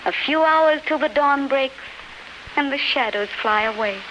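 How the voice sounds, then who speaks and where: A woman's voice: high voice, a little slow, dull audio. An actress playing the tender ghost of a beloved woman, lyrical and fervent, in 1930s radio drama style. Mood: gentle consoling. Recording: noisy, scratchy 1939 radio recording.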